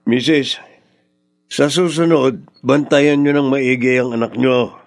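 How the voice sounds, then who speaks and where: A man's voice: medium pitch, fairly even intonation, takes it slowly, vocal fry. A man with a Filipino accent playing a stern village official giving warnings in a radio drama.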